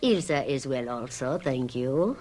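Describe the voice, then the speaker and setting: A polite, knowing innkeeper in an old radio mystery. She speaks in a low voice, slowly and formally, with a hint of the uncanny. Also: animated, darkish tone, exaggerated voice. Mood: mysterious. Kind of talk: 1970s radio drama acting.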